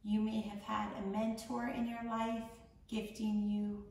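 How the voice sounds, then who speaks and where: Echoey, slow-paced woman guiding meditation. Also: medium pitch, level tone, creaky. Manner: soothing, gentle, measured with long pauses. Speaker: meditation guide, founder of a yoga charity. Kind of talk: guided meditation. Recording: echoey room, distant mic, faint noise.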